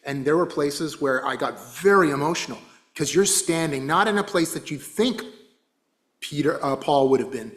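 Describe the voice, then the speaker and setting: A man's voice: a little high, expressive, moderate pace, fairly bright tone. A man giving an informal slide talk to a church class, chatty, with many fillers and restarts. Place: church hall.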